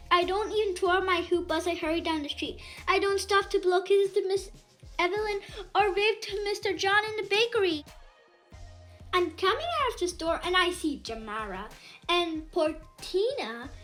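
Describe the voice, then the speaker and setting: A woman reading a children's picture book aloud in an animated, expressive storytelling way. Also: very high-pitched. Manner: animated, dramatizes dialogue.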